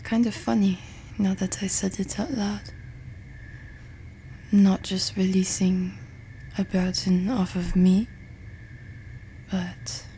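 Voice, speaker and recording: A woman playing a pensive French roommate in an intimate ASMR ramble, with a French accent and a soft, breathy, hesitant delivery. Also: mid-range voice, slight background noise. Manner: hesitant, musing, self-deprecating. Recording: music behind the voice. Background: music and summer ambience.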